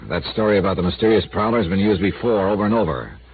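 A man's voice: low voice, fast talker, close to an everyday voice. An actor playing a dry, skeptical male insurance investigator who also narrates, in a 1950s radio drama. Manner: dry, skeptical, probing questions. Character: wry insurance investigator, male, middle-aged. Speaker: narrator and lead. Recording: tinny lo-fi old radio broadcast with hiss.

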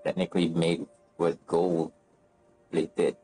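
Deep-voiced man casually streaming a game. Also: little pitch movement, very slow, dark tone. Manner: musing, thinking aloud. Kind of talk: casual gameplay commentary. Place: indoors at a gaming setup. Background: game dialogue and music.

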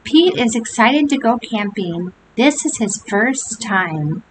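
An older woman reading a picture book aloud to young children, with gentle chatty asides. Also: fairly high pitch, slow, rough recording. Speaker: storybook reader. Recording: home recording with steady hiss.

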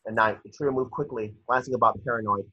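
A man's voice: medium pitch, fairly even intonation, quick pace, sharp tone, slightly rough, no room sound. A young man narrating scripted stage directions from a screenplay over a video call. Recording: dull, muffled video call audio.

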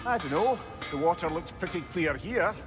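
A wise, wry companion in a video game. His fairly high, lively voice rings with long reverb over loud music. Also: moderate pace.

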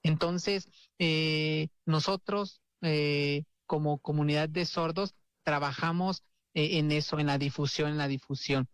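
Woman interpreting, halting. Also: low voice, fairly even intonation, clean tone, no room sound. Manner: halting, many fillers and restarts. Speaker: sign language interpreter. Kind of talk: live interpreting in first person.

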